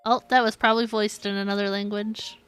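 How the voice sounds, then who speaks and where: A woman's voice: mid-range voice, flat delivery, a little fast, clear, resonant tone, faint music behind. A woman livestreaming a game and reacting casually, giggly and gushing. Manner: giggly, gushing over cute things. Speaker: game streamer.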